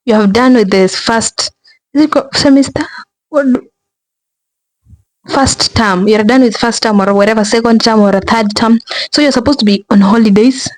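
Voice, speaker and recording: A woman's voice: fairly high pitch, moderate pace, no echo, low-quality recording. Young Kenyan woman vlogger, chatty and rambling, close dry mic. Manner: rambling, many restarts and filler words. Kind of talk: casual commentary to viewers.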